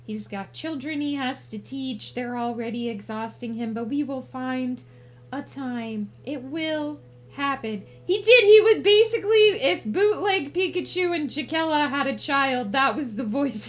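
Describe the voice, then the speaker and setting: A woman's voice: high-pitched, measured pace, a bit bright. A woman livestream host chatting casually with viewers in a playful, cutesy put-on character voice.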